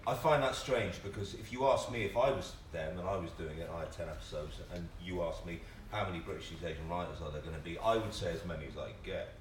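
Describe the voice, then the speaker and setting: A man on a discussion panel speaking informally and emphatically, repeating words as he argues his opinion. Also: sits low. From family from Singapore. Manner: emphatic, halting repetitions, exasperated opinion. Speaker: panellist, actor. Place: panel discussion in a theatre venue, microphone at a distance. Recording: distant roomy live-stream audio, faint noise.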